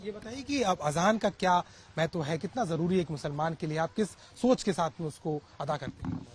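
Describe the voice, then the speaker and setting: A man's voice: on the high side, animated, fairly quick, slightly rough. A man reporting on location, doing street interviews and pieces to camera. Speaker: field reporter. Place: on location in a local neighbourhood.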